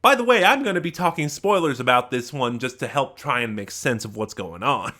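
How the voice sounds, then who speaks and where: A man giving a casual, unscripted review to viewers, halting and rambling as he often trails off mid-thought. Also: medium pitch, animated, pushed and bright. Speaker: YouTube reviewer.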